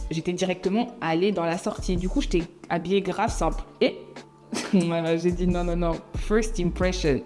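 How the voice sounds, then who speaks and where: Young woman vlogger, lower voice, animated storytime delivery, soft music bed. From Belgium. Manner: chatty, animated, laughs often, mixes in English phrases. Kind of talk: casual storytime monologue.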